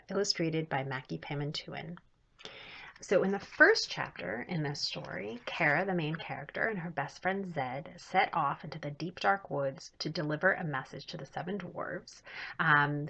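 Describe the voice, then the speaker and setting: A woman in her thirties reading a children's story aloud in an expressive way, as an author would. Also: low voice, gravelly, a little hiss or hum. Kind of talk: storybook read-aloud, scripted narration. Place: small home room, indoors. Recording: dull lo-fi webcam audio.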